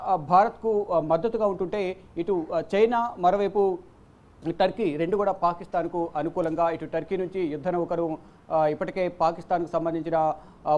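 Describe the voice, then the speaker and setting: A man with a Telugu accent giving unscripted news commentary as a presenter, with halting, repetitive phrasing and frequent hesitations, on a dull, muffled recording. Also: high-pitched, a little mellow.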